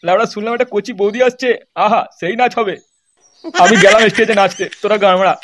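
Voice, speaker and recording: A man's voice: high voice, fast, a bit bright. A man voicing a foul-mouthed old grandfather in an amateur comedy cartoon, grumbling coarsely and keen to dance and drink. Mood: grumbling, defiant.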